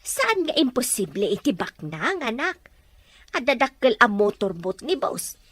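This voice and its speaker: A woman's voice: a little high, sing-song, unhurried, fairly bright tone, heavily acted. A woman playing a concerned, indignant older household helper in an Ilocano radio drama. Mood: uneasy.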